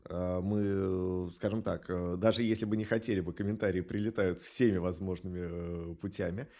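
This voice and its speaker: A man answering as an animation studio director in an interview, explaining things in a halting, thoughtful way with drawn-out hesitations. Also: sits low, fairly even intonation, warm tone.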